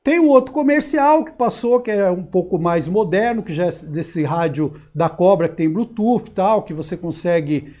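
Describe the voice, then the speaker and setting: A man's voice: sits high, expressive, moderate pace, hardly any pauses, warm tone. A Brazilian man in his forties hosting casually, slangy and emphatic, repeating words and checking that viewers agree. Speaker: host of a CB radio channel. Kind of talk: casual, chatty commentary to viewers. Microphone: lapel mic.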